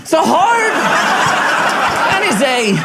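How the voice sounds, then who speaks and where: A young man performing stand-up comedy in a club, with a high-pitched, boyish voice and an animated, shouty delivery. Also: slow pace. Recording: live club recording with crowd noise.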